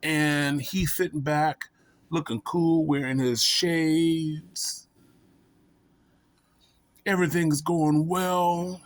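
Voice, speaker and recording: A man's voice: fairly high pitch, fairly even intonation, extremely slow, pauses often, dry recording. A man vlogging in a casual, comedic storytelling style, rambling and folksy, often making fun of himself. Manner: rambling, self-mocking, folksy.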